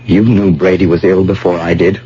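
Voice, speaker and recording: A man's voice: low-pitched, flat delivery, fairly quick, mellow, faint music behind, close to an everyday voice. An actor playing an earnest, hot-tempered young man, insistent and pleading as he searches, in an old-time radio drama. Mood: agitated. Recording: muffled, noisy vintage radio broadcast.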